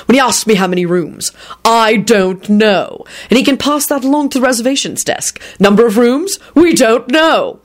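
A woman's voice: medium pitch, animated, brisk. A woman voicing an impatient, sarcastic man in an audiobook, exasperated and barking his demands.

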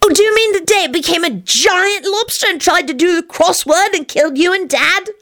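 A bright-spirited, precocious small boy from a storybook, acted by a woman reader. The voice is very high and bounces around in pitch, with an earnest, knowing manner and childish boldness. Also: lively intonation, fairly quick. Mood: knowing, gleeful. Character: cheerful, precocious, bold little boy.

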